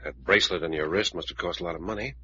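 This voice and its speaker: A deep, forceful male adventurer in a vintage radio play with faint hiss. He talks fast and direct, level-headed and always probing. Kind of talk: 1940s radio drama acting. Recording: dull old radio recording, faint hiss.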